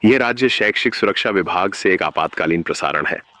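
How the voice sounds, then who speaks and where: A man narrates a scripted horror story in a measured, solemn way. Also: low voice, lively intonation, noisy background.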